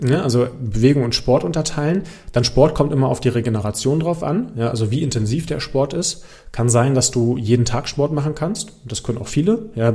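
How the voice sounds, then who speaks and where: Mellow-voiced man explaining things informally. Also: mid-range voice. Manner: conversational, direct address with frequent check-in tags. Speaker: host, pain and movement coach. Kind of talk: informal explanatory answers to community questions.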